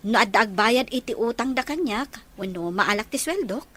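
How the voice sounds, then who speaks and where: A woman's voice: fairly high pitch, lively intonation, moderate pace, fairly bright tone. A woman playing a kind, sympathetic older sister in an audio drama. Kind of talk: Ilocano audio drama acting.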